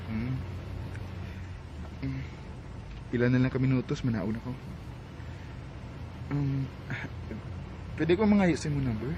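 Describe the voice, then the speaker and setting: A man's voice: medium pitch, expressive, slow, pauses often, highs cut off, noisy background. An actor playing a shy, friendly young man in a radio drama, with a Filipino accent. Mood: sheepish. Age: young adult. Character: friendly, shy young man courting, telling his life story.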